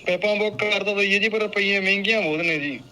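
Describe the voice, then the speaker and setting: A man's voice: high-pitched, fast, bright, forceful tone. A man chatting casually on a phone call played over a speaker. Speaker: person answering a phone call.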